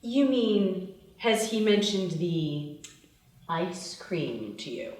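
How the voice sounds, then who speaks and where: A woman's voice: fairly low pitch, big pitch swings, extremely slow, darkish tone, full character voice. Casting: woman acting teasing young female crew leader, table-read delivery, very reverberant and off-mic. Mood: playfully sly. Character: Violet, playful, teasing young woman leading a heist crew. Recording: echoey room, distant microphone.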